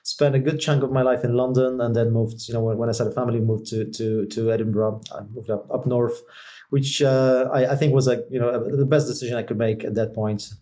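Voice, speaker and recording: A man from Poland with a Polish accent, speaking as an interview guest in a measured, halting way. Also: mid-range voice, fairly even intonation, warm tone. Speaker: podcast guest. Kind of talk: conversational interview.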